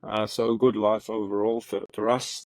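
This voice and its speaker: Middle-aged man, very low and even voice, halting and reflective, close dry sound. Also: dry recording. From Ethiopia. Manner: halting, many fillers and repeated words. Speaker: interview guest, retired prosecutor. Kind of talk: relaxed reflective storytelling.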